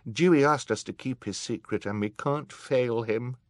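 Guy doing an earnest young girl in a storybook, big sister type, sad but principled, higher pitch. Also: normal speed, no room sound, studio quality, natural voice. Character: conscientious, sorrowful eldest sister, a young girl.

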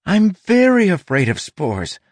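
A man's voice: mid-range voice, expressive, moderate pace, dry recording, voice pushed up, close to an everyday voice. A man voicing a timid, earnest young island girl in an audiobook, hesitant and apologetic. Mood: afraid.

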